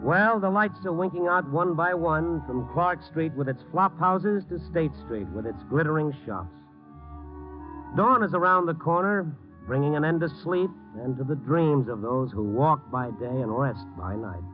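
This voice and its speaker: A man's voice: on the high side, expressive, moderate pace, over music, natural voice. Man playing world-weary noir reporter, dull vintage recording. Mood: wistful, wry. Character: world-weary male newspaper reporter, compassionate and wry. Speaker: narrator and lead reporter. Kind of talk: 1950s radio noir narration and acting. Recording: dull old radio drama recording.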